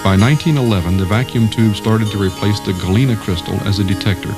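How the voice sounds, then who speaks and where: A man's voice: fairly low pitch, fast talker. A man reading scripted documentary narration. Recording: music under the voice. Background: music.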